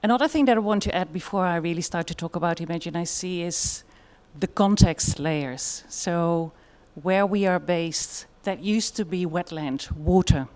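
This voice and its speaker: A woman's voice: on the low side, moderate pace, hardly any pauses, a little mellow. A woman with a Dutch accent giving a conference presentation in a thoughtful way, with frequent fillers. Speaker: conference speaker.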